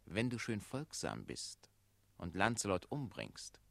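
A domineering, self-important official in a radio drama. The voice is lowish, sharp and forceful, recorded close and dry. He commands with lively, calculated swings. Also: slow pace, vocal fry, dry recording, heavily acted. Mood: smug, coaxing. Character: smug, calculating, domineering official, the mayor's son. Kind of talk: radio play acting.

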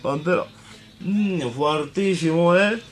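Comic Cuban radio host, male actor: high voice, tippling, excitable, rambling, repetitive. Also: takes it slowly. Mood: savouring. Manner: rambling, repeats phrases, bumbling. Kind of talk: comic sports radio presenting.

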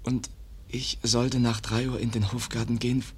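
A man's voice: medium pitch, little pitch movement, normal speed, darkish tone, slightly rough. An actor in a German radio drama playing a wounded young man, weak and in pain, gasping out broken, clipped sentences. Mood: weak, labouring.

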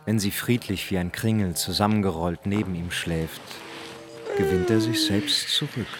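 An actor playing a knowing narrator of a dark crime tale, delivering dramatic radio drama narration deliberately and ominously. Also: male voice, low-pitched, sing-song, soft background music.

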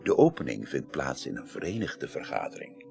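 A man's voice: a bass voice, sing-song, moderate pace, vocal fry, darkish tone, full character voice. A man acting a genial, wry, dignified parliament chairman in a Dutch radio crime drama from the early eighties, chatting and murmuring agreement. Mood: wry, explanatory.

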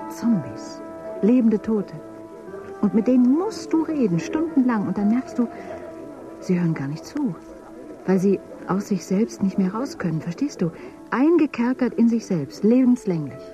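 A woman's voice: a little high, very animated, a little slow, over music. An actress playing a troubled woman who works as a paid conversation companion in a dystopian radio drama, talking compulsively. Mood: distraught, confessing. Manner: compulsive, rambling talk. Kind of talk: German radio drama acting.

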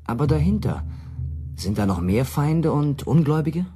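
Casting: boyish, questioning young emperor for radio drama, soft-toned, lively intonation. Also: male voice, a little high, normal speed, soft background music. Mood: puzzled. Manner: questioning, naive. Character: young, naive, questioning monarch with growing haughtiness. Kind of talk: German radio play acting.

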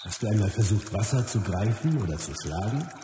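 Radio play martial arts master: calm, philosophical, measured delivery, lowish voice, long reverb. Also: male voice. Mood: solemn, musing. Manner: measured, solemn, aphoristic. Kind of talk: radio docudrama monologue. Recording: very echoey, long reverb, loud nature noise.

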